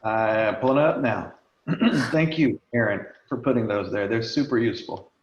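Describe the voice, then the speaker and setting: A man's voice: medium pitch, moderate pace. A man hosting an online meeting, talking casually with many fillers while sharing his screen and losing his voice. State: losing his voice, clearing throat. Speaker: meeting host. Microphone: video call. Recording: echoey lo-fi video call.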